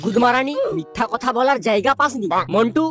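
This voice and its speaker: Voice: medium pitch, brisk, loud music behind the voice, natural voice. A performer voicing a furious, foul-mouthed cheated husband, a young village man in a comic cartoon dub, aggressive and accusing. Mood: angry, accusing.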